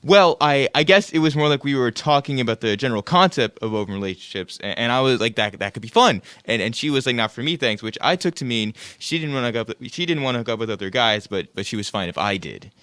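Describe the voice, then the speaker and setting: A man's voice: mid-range voice, animated, fast, few pauses, a bit bright, clear, resonant tone, no room sound, natural voice. A man playing a cocky, clueless young guy in an audio play, with a casual, self-justifying way of talking. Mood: defensive, flustered. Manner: casual, stammering when defensive.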